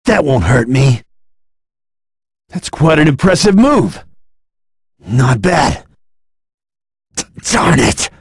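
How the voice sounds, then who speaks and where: An actor playing an earnest young martial-arts hero in an anime fighting game, with an intense, emphatic delivery. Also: male voice, medium pitch, sing-song, slow, pauses often, husky, no room sound, clean studio sound, heavily acted. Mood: determined.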